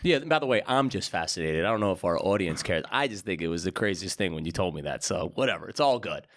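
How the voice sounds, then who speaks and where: A man's voice: low-pitched, expressive, fast talker, fairly bright tone. A man hosting a podcast in a brash, slangy, hyped-up way, from Brooklyn. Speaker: podcast host. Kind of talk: casual podcast chat.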